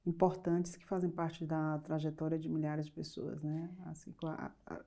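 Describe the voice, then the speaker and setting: Guest: middle-aged woman from Bahia, low warm voice, earnest, reflective. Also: completely flat delivery, moderate pace. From Bahia, Brazil. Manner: reflective, earnest, with fillers and restarts. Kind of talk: interview conversation.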